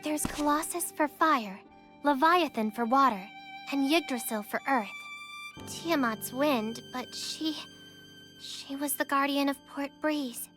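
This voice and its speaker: A woman voicing a cheeky, excitable young sidekick in a video game, with an animated, exclaiming delivery. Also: high voice, slow pace, full character voice. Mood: thoughtful. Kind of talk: scripted video game dialogue. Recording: orchestral music behind the voice.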